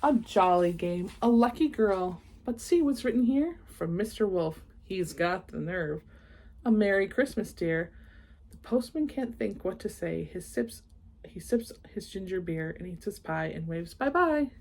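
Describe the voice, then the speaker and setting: A woman reading a children's picture book aloud, expressive in the story and chatting casually and enthusiastically in asides. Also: mid-range voice, measured pace. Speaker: read-aloud host.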